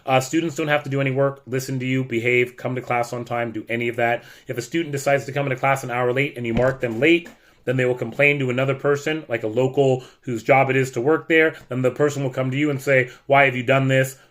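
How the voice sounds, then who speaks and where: A middle-aged man from the United States talks straight to the camera in a loud, blunt voice. He sounds frustrated and emphatic as he vents. His pitch sits in the middle range. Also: steady tone, fast. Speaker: teacher. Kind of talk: warning vlog rant.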